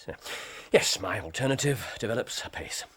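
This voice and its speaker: An actor playing a calm, sly male detective in a radio drama, dry, wry and assured. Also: lowish voice, moderate pace, breathy edge.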